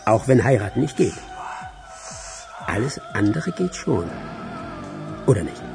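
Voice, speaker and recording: A sly director guy coaxing, lively pitch, bit of fry, music under it, radio play. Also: unhurried, exaggerated voice. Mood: manipulative. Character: manipulative male film director coaxing a child. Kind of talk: radio crime drama acting. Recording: clear music behind the dialogue.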